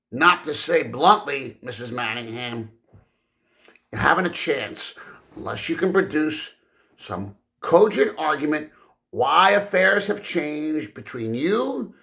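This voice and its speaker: A man voicing a portly, pedantic Victorian gentleman lawyer, speaking in formal, wordy legalese with weighty pauses. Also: fairly high pitch, full character voice. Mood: blunt, probing.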